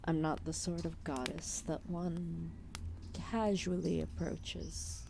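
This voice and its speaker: A woman voicing an ancient, lethargic serpent goddess, protective and calmly menacing, in a formal, soothing, intimate ASMR roleplay with whispered phrases. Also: deep voice, takes it slowly, gravelly, background noise, exaggerated voice. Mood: reflective, admiring. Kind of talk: ASMR roleplay, intimate soft-spoken acting.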